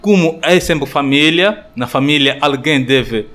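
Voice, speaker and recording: A man with an Angolan Portuguese accent, a friar giving a radio interview answer, thoughtful and hesitant with many pauses and fillers. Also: a little high, fairly bright tone. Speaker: friar, guest.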